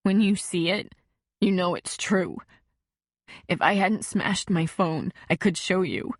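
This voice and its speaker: A woman's voice: on the low side, animated, slow, dry recording, studio quality, exaggerated voice. A woman voicing an upset, humiliated teenage girl who sounds small and tearful. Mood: distraught.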